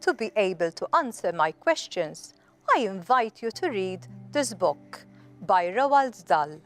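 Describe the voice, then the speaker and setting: A woman narrates a children's story in a deliberate, expressive way. Her pitch moves up and down in a lively fashion, while her pace stays slow and measured. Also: faint music behind. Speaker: storybook reader.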